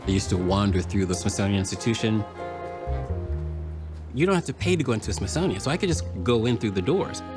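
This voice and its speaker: Measured American man explaining, over music. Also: fairly low pitch, animated. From Washington, D.C., United States. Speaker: puppeteer, artist, museum curator. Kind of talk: documentary interview, explaining his work.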